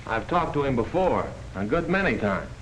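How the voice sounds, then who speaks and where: A man's voice: mid-range voice, sing-song, normal speed, close to an everyday voice. An actor in a 1940s film playing an earnest small-town male insurance salesman, folksy, patient and persuasive. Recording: noisy 1940s film soundtrack.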